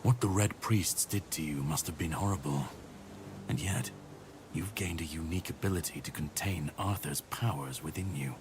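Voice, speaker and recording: An actor playing a grim, world-weary warrior on a mission in a video game, speaking in a grave, measured way. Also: male voice, a bass voice. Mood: grave, resolute. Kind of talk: dramatic video game dialogue acting. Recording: clear background noise and ambience.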